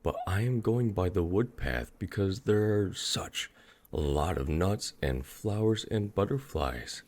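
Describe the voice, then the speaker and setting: A man's voice: low-pitched, expressive, unhurried, a little mellow, voice pushed up. A man voicing an innocent, trusting little girl in a fairy tale, with a guileless, polite manner. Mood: innocent, chatty.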